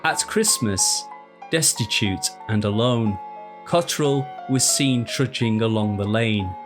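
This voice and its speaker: A man reading a scripted ghost story in a measured, deliberate, formal storytelling manner. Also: lowish voice. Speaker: narrator. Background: music.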